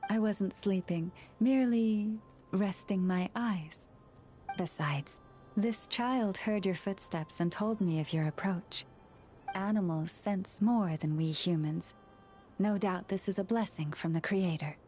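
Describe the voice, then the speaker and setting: Over soft background music, an actress plays a kind, devout young woman of a fantasy video game. Her voice sits at a medium pitch. She speaks formally and with composure, with a light playful kindness. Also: measured pace, lightly acted. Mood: serene, gracious. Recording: music behind the voice.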